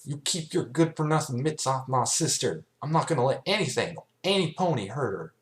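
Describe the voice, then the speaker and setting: A man's voice: medium pitch, animated, fairly quick, voice pushed up, lightly acted. A man voicing a protective young female farm pony, bellowing and scolding in a folksy way for a cartoon fan story. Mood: angry, defiant.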